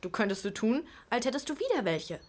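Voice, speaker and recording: A woman's voice: a little high, big pitch swings, fairly quick, slight background noise. A female performer playing a sensible, bossy schoolgirl who explains and instructs her siblings in a children's radio play. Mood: planning.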